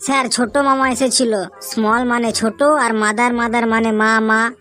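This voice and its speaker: A woman's voice: on the high side, little pitch movement, normal speed, pushed and bright, soft background music. A woman voicing a naive little schoolgirl in a comic cartoon, earnestly explaining herself, with a Bengali accent. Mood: earnest, innocent.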